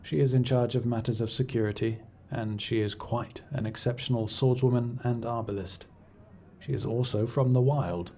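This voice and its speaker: A man playing a cool, imperious scholar-agent in a fantasy audio roleplay, speaking in a formal, measured, dryly condescending way. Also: mid-range voice, fairly even intonation, warm tone, a little hiss or hum, close to an everyday voice. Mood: dry, dismissive. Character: cool, imperious male scholar-agent serving an arcane archivist patron. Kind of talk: scripted audio roleplay acting, addressing the listener.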